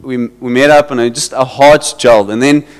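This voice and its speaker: A South African man leads his congregation with a loose, stop-start sermon, repeating himself as he finds his words. His voice is smooth and resonant. It carries a long reverb from the church hall around him. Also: mid-range voice, fairly even intonation. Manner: halting, repeats words, switches into Afrikaans. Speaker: pastor.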